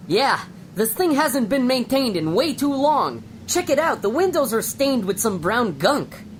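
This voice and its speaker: A woman's voice: sing-song, unhurried. A woman playing an indignant, practical space crew leader, blunt and exasperated, in an amateur radio play. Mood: disgusted. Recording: loud rumbling vehicle noise behind voice.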